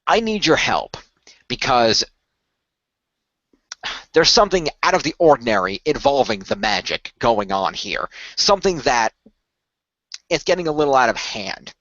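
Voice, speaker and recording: A man's voice: mid-range voice, very animated, measured pace, creaky, pauses often, fairly bright tone, no room sound, full character voice. A man playing an earnest, persuasive company recruiter in a radio play, speaking formally and wordily with careful hedges. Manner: formal, wordy, persuasive, hedging. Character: earnest male company recruiter pitching secret magical project. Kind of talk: improvised radio play roleplay. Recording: dull lo-fi voice recording.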